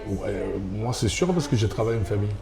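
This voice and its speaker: A man from Australia with an Australian accent, a restaurant owner and former rugby player, answering interview questions in halting French with frequent restarts. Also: on the low side, creaky, music in the background.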